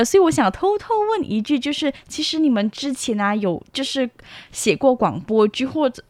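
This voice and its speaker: Higher-pitched young Taiwanese woman interviewing. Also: no room sound. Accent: Taiwanese Mandarin. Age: young adult. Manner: attentive, frequent short acknowledgements and tag questions. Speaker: student interviewer. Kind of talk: casual radio interview.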